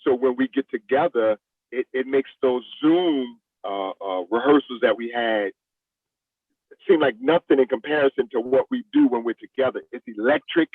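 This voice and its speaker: A man being interviewed as a play director, answering in a halting way, repeating words as he searches for the next one. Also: medium pitch. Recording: roomy, thin radio-like lo-fi sound.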